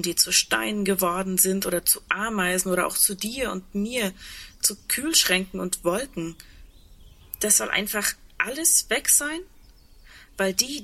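A woman's voice: medium pitch, bright, forceful tone, slightly rough, heavily acted. An actress playing a candid, sceptical woman clinging to a little hope in a naturalistic radio play, with a plain, questioning delivery.

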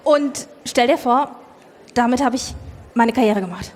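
A breezily vain celebrity author, always selling herself, played by a woman. Her voice sits high and sounds self-important. It is improvised comedy radio drama in a reverberant hall. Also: measured pace, darkish tone. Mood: smug, salesy. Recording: echoey hall, live workshop performance.

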